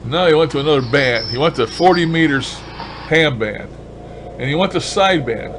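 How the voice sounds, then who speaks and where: A man's voice: on the high side, expressive, moderate pace, noisy background. A man casually demonstrating a gadget as a hobbyist vlogger, talking in a folksy, colloquial and enthusiastic way. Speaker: ham radio hobbyist vlogger.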